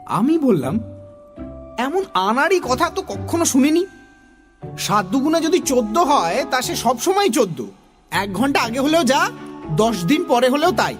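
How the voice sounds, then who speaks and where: A man narrating a children's story in an expressive, theatrical way, also speaking as the young boy in it. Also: extremely high pitch, unhurried, pauses often. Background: music.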